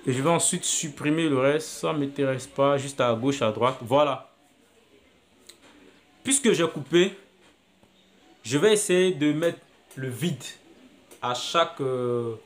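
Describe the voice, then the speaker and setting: A man teaching video editing on a phone app, talking step by step and repeating his actions aloud as he works. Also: medium pitch. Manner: step-by-step, repetitive, thinking aloud. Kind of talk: screen-recorded app tutorial.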